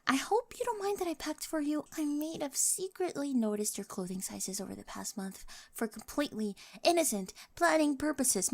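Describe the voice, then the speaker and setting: A woman's voice: a little high, vocal fry. A woman voicing an eccentric, infatuated teenage girl, rambling nervously and over-eagerly in an ASMR romance roleplay. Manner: rambling, self-undercutting, nervous confessions.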